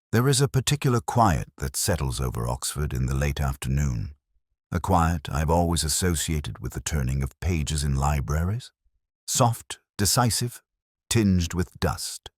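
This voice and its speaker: A man narrating a scripted first-person detective mystery in a measured, formal, literary manner. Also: extremely low pitch, no room sound, studio quality.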